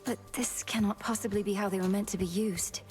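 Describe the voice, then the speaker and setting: A woman's voice: mid-range voice, fairly even intonation, fairly quick, natural voice. A woman playing an earnest, dutiful young witch princess in a video game, speaking formally and with determination. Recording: music underneath.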